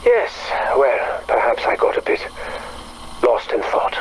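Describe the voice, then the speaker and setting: Casting: absent-minded, evasive scientist, mild and hesitant, natural game dialogue with faint noise behind. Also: male voice, medium pitch, sing-song, slow pace, rough recording. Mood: evasive, pensive. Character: distracted, evasive male scientist.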